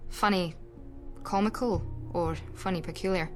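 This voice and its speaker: A woman's voice: fairly low pitch, moderate pace, lightly acted. A woman voicing a blunt, sarcastic young female student, in a video game cutscene with music under her.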